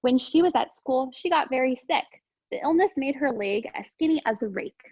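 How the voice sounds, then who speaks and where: A woman teacher reading aloud to young children in an expressive, sing-song, encouraging way. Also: high-pitched, normal speed, no echo, dull audio. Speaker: preschool teacher reading storytime.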